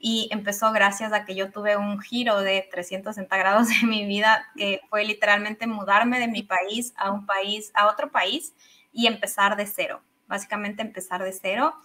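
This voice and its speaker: A woman's voice: mid-range voice, normal speed. A woman in her thirties from Ecuador with an Ecuadorian Spanish accent, a podcast guest chatting expressively about her coaching work over a video call. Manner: expressive, enthusiastic, many fillers and restarts. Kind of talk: casual interview chat. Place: home office with a big microphone. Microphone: studio microphone on video call.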